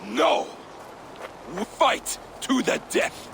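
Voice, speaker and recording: Proud, bitter Viking challenger for a game: high-pitched, slow, declamatory and scornful, over loud outdoor noise. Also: male voice, very animated, creaky, heavily acted. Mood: angry challenge. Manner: declamatory, taunting, contemptuous. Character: proud, bitter Viking warrior challenging his clan leader. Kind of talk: dramatic video game acting.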